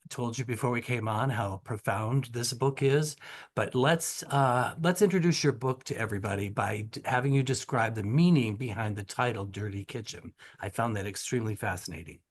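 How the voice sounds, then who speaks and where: A man's voice: mid-range voice, moderate pace. A man moderating a panel, introducing guests and asking questions in an effusive, conversational way. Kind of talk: conversational interviewing.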